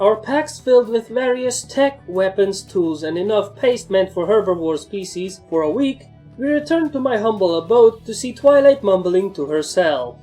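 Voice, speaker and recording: Female narrator, soft mellow tone, first-person scripted storytelling, faint music behind. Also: medium pitch. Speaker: fan fiction narrator.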